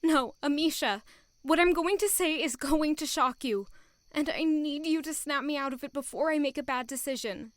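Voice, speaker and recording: A woman's voice: sits high, moderate pace, no echo, close to an everyday voice. A young woman acting an anxious, lovelorn servant girl in an audio drama, earnest and plaintive. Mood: urgent, confessing.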